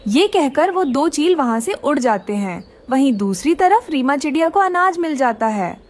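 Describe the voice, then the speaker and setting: Woman narrator for children's tales: high-pitched, very expressive melody, scripted storytelling. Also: moderate pace.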